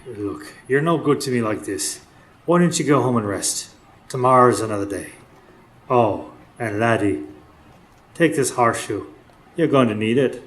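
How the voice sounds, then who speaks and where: A man's voice: medium pitch, expressive, moderate pace, long pauses, lightly acted. A man acting in a radio drama as a seasoned older workmate who tells spooky folk tales with folksy drama. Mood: gruff concern. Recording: clearly noisy live stage recording.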